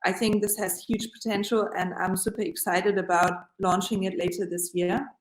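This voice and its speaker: A woman's voice: medium pitch, level tone, moderate pace, roomy sound, rough recording. A woman and startup founder speaking remotely from an office at a livestreamed event, enthusiastic and talking in long run-on sentences.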